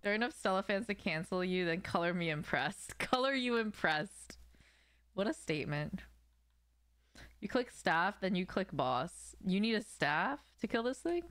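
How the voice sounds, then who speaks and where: A woman's voice: medium pitch, lively intonation, moderate pace, fairly bright tone, no echo. A young adult woman streaming a game, chatting casually and playfully with her viewers in slangy speech. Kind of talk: casual live stream chat while gaming. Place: small room at home, indoors.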